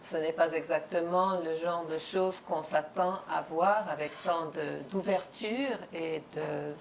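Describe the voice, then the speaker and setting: Woman host talking real slow, lowish voice, picking her words, faint dish noise. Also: steady tone. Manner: slow and measured, searching for words. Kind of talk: hosted interview. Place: dinner table in a home.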